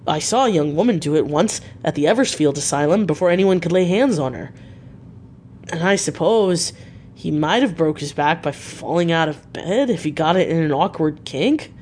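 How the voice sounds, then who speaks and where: A narrator plays a working-class male asylum attendant from a gothic storybook. He is bewildered and talks in homely, colloquial phrases, at a middling pitch, over a clearly noisy, rumbling recording. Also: expressive, moderate pace, darkish tone, natural voice. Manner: colloquial, puzzled.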